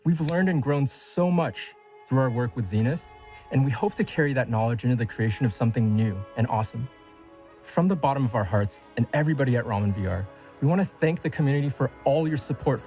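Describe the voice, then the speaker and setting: A man's voice: medium pitch, a little fast, a little mellow, faint music behind. A man delivering a scripted announcement as a studio spokesman, formal and earnest.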